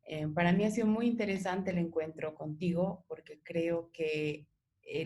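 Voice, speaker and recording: A woman's voice: on the low side, mellow, a little hiss or hum. A woman, interviewing a guest in a conversational way as host. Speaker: interviewer and project curator.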